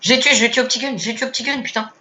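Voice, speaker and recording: A young man who has a cold, joking around excitedly while playing an online game and stumbling over his words. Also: very high voice, racing. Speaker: gamer and channel host. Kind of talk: casual gaming chat. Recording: slightly muffled home mic with faint hiss.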